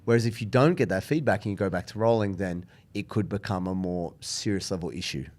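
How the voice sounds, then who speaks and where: A man's voice: deep voice, brisk, darkish tone. A man co-hosting a podcast, giving earnest, emphatic advice in a casual chat. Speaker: podcast co-host.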